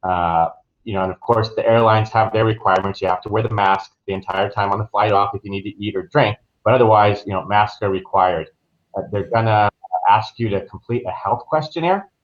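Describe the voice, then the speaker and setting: A man's voice: on the low side, brisk, muffled recording. A man speaking as a guest travel professional in a casual interview, explaining things informally.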